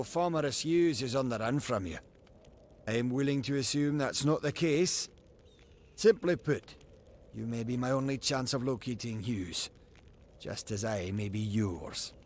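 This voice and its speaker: An actor playing a haughty, scheming gnome patron in a fantasy video game, speaking in a pompous, measured, condescending way. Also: male voice, mid-range voice, lightly acted. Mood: calculating.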